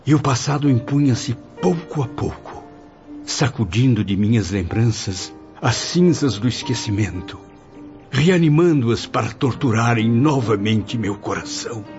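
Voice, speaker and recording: A man's voice: mid-range voice, lively intonation, measured pace, darkish tone. A man playing the melancholy, proud spirit of a dead writer in a radio soap, with theatrical, literary lamenting. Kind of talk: dramatic radio soap acting. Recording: muffled radio drama with faint music.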